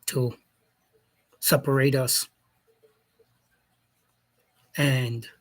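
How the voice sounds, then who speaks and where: A man from Jamaica speaking as a commentator in an opinion monologue, slow and deliberate, posing challenging questions. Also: mid-range voice, level tone.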